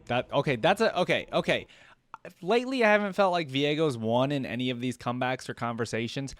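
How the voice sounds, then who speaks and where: Clear-voiced man giving casual reaction commentary. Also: mid-range voice, expressive, brisk, no room sound. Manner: conversational, thinking aloud, slangy. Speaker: reaction video host.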